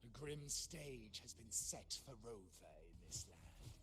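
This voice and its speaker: Theatrical mocking villain, wildly swooping delivery. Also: male voice, lowish voice, expressive, slow. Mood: theatrical, sinister. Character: taunting theatrical villain, fanatical disguised acolyte brother. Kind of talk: video game voice acting.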